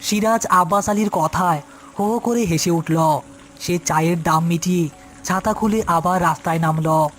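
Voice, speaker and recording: A storyteller narrates a scripted horror tale. The voice sits at a middle pitch, with music clearly playing underneath. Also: moderate pace, fairly bright tone.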